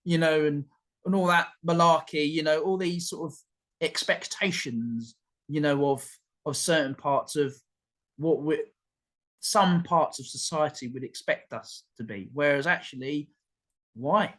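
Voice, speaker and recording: Rambling podcast host. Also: male voice, fairly high pitch, a little slow, fairly bright tone. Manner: rambling, repeats words and restarts, jokey asides. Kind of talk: casual conversational chat.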